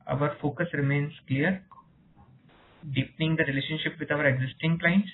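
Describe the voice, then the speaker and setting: A man, a company executive, reads a scripted earnings presentation in a formal, measured way over a conference call line. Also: a little high. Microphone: telephone. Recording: narrow phone line with faint noise.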